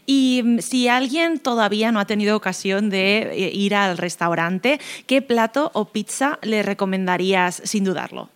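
A woman's voice: mid-range voice, animated, moderate pace, few pauses. A woman radio host with an ordinary mid-range voice leads an interview. She asks her questions in a friendly, easygoing way and laughs easily.

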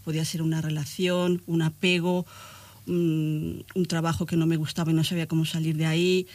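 A woman's voice: low-pitched, little pitch movement, a little fast, darkish tone, dry recording. An older woman from Spain, reminiscing as an interview guest in a reflective way, often searching for words. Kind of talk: conversational interview, personal reminiscing.

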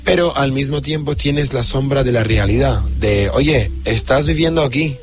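Young guest from Syria, mid-pitched male voice, earnest and halting, faint music under a studio interview. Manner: earnest, halting with fillers and restarts. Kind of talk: radio interview, personal story.

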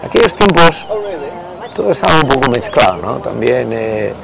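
A man, telling stories as an interview guest, hesitant with many pauses and restarts and some casual swearing. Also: medium pitch, big pitch swings, vocal fry, crowd noise. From Basque Country. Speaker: interview guest, party member. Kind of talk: conversational storytelling interview. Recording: loud background noise.

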